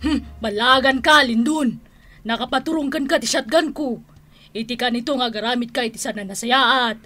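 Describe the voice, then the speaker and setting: A woman's voice: a little high, moderate pace, pushed and bright, soft background music. A woman playing a feisty, suspicious aunt, blunt and menacing, in an Ilocano radio drama with an Ilocano accent. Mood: threatening. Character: feisty, suspicious aunt threatening intruder with a shotgun.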